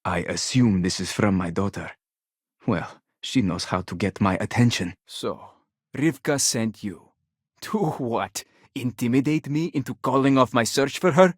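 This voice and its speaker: An actor playing a wealthy, stubborn, indignant father in a video game, speaking in a formal, exasperated way with a husky voice. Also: medium pitch, measured pace, no echo, clean studio sound. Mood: guarded, weighing it. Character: wealthy, indignant, stubborn father hunting his runaway daughter.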